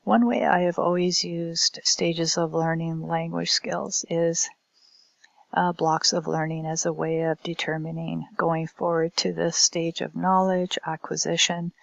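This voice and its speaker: A woman giving a recorded academic presentation as a language instructor, speaking in a halting, hesitant way. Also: on the low side, little pitch movement, vocal fry, dry recording, lo-fi sound.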